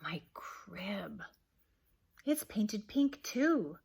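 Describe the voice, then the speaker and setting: A woman voicing a sulky little boy in a storybook, complaining in a drawn-out, emphatic way. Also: mid-range voice, big pitch swings, creaky, a little mellow, husky, exaggerated voice. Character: sulky little boy upset over his things.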